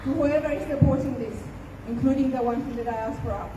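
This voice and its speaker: High-voiced woman giving an impassioned rally speech. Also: a little fast, background noise. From Ethiopia. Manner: earnest, emphatic, pained. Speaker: rally speaker. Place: outdoor rally. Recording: distant, echoey outdoor recording.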